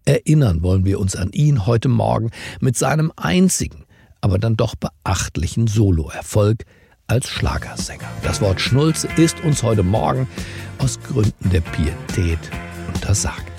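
A man hosting a morning briefing, narrating from a script in a formal, wry, measured way. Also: lowish voice, very animated, gravelly, a little mellow, husky, soft background music. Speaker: podcast host.

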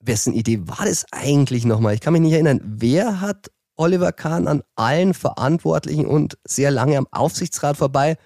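Podcast host, male, mellow tone, wry and teasing, dry close sound. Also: on the high side, lively intonation, normal speed, hardly any pauses, no room sound. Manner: wry, teasing, asks pointed questions. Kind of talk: casual sports podcast chat.

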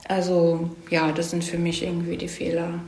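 A woman's voice: fairly low pitch, monotone, normal speed, a little mellow. A woman speaking as an interviewed screenwriter, explaining things casually and often checking for agreement with little tags. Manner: conversational, frequent "ne?" tags seeking agreement. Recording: echoey room.

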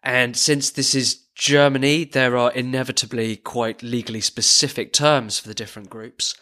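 Guy cohosting a podcast, reads his script with a dry wit, mid pitch. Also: steady tone, normal speed, bright, forceful tone. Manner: dry, wry humor. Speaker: podcast co-host.

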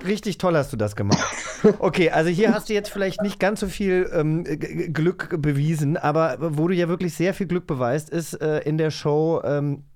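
A man's voice: on the high side, moderate pace, a little mellow, a little hiss or hum. A man hosting a casual, chatty interview as a podcast host.

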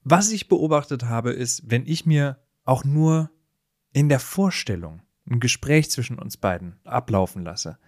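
A man's voice: medium pitch, expressive, a little slow, darkish tone, dry recording, studio quality. A man co-hosting a podcast in casual, bantering conversation, reasoning analytically point by point. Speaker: podcast co-host.

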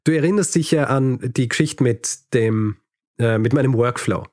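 A man with a medium-pitched voice hosts a podcast, telling his story casually. He pauses to find words and drops in light chuckling asides. The sound is close and dry. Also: darkish tone, no room sound. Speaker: history podcast host telling the episode's story.

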